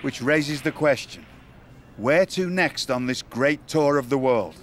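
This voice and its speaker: An actor playing a dry-witted wrestling tour organizer with a formal, wry way of speaking, in a video game cutscene. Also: male voice, mid-range voice, a little slow, slight background noise, natural voice.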